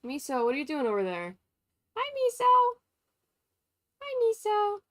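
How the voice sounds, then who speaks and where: A woman live-streaming a game with casual, expressive and sweary commentary, sometimes reading text aloud. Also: very high-pitched, a little slow. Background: faint game music.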